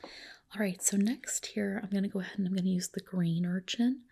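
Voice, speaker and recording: A woman whispering a soothing ASMR roleplay as a reassuring clinician, in a soft, breathy voice. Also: medium pitch, fairly even intonation, moderate pace.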